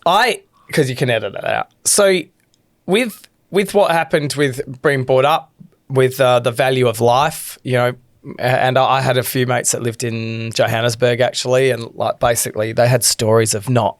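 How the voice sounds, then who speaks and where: A man hosting a podcast, rambling in casual talk with quick asides and a father's anecdotes. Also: medium pitch, dry recording, studio quality. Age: adult with young children. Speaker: podcast host. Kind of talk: podcast conversation.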